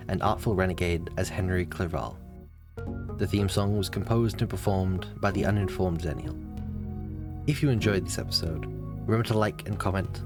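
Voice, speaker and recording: A man reading scripted end credits as a podcast announcer. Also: low-pitched. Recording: music behind the voice. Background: music.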